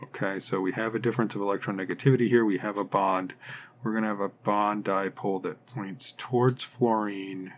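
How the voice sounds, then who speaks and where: A man's voice: fairly low pitch, fairly even intonation, a little fast, slight background noise. A man teaching chemistry in an informal, step-by-step lecture style, methodically thinking aloud as he works through things.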